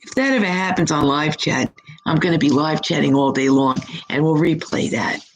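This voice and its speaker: A hoarse, husky middle-aged woman hosting a live stream as a psychic reader, chatting in a rambling, upbeat way from her home. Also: deep voice, moderate pace, slight background noise, low-quality recording. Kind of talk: casual live-chat monologue.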